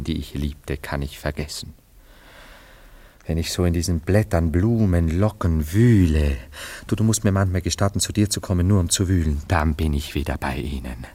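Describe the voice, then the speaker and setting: An actor in a German radio play voicing a melancholy, sentimental philanderer in a solemn, wistful, theatrical manner. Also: male voice, extremely low pitch, darkish tone. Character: melancholy, sentimental male philanderer parting with his past.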